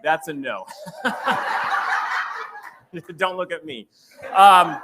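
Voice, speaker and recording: A man's voice: on the high side, animated, drawn-out words, heavy background noise. An American man hosting a live theater celebration, presenting partly from a script in an informal, bantering way. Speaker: host of a theater's season celebration. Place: live theater stage before an audience.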